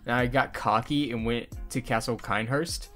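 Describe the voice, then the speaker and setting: A man's voice: mid-range voice, fairly even intonation, normal speed, fairly bright tone, soft background music. A young man chatting casually on a podcast in a laughing, informal manner with some swearing. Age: young adult. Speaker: podcast co-host and hobby voice actor.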